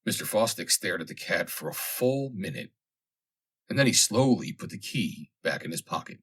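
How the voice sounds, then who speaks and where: A man with a husky voice reading a humorous vintage story as an audiobook narrator, in a theatrical, deliberate way with emphatic phrasing. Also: low-pitched, lively intonation, a bit bright.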